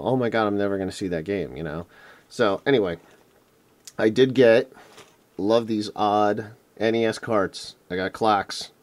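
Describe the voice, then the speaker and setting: Man, lowish voice, hoarse and losing it, rambling, lots of fillers, casual vlog, indoors. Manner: rambling, filler-heavy, enthusiastic. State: losing his voice, throat clearing. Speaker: retro game collector vlogger. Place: indoors at a table. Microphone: camera mic off-screen, hands on table.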